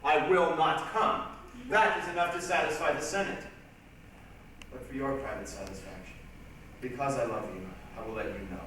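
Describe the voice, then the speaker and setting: A man's voice: mid-range voice, moderate pace, a bit bright, far from the mic, natural voice. A man playing a proud, commanding Roman ruler in declamatory reader's theatre style. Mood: imperious. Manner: declamatory, imperious. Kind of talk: reader's theatre stage acting. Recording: echoey stage recorded from afar, noisy.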